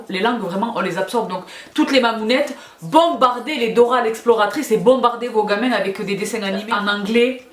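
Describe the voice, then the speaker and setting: A woman chatting casually and affectionately to her followers as a video host, emphatic and given to repeating her phrases. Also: mid-range voice. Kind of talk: casual question-and-answer chat to followers. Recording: echoey, roomy sound.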